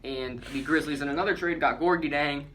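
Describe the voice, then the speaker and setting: Bright-voiced man in casual sports chat. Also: on the high side, fairly quick, a little hiss or hum, low-quality recording. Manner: chatty, jokey, reads out trades and counts down. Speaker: podcast co-host.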